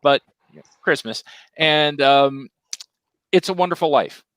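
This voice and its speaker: A man's voice: a little high, takes it slowly, fairly bright tone, clear, resonant tone, no echo. Opinionated man in dry, casual chat. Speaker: podcast panelist. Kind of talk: casual panel chat about films. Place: video call.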